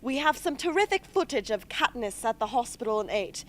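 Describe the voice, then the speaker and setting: A woman playing a brisk, articulate rebel film director in an amateur radio play. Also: fairly high pitch, very animated, a little hiss or hum. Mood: brisk, keen. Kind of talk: amateur radio drama acting.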